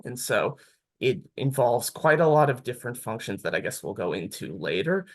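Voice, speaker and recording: A man on a career panel, explaining his technical work in a measured way. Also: medium pitch, sing-song. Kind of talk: panel talk.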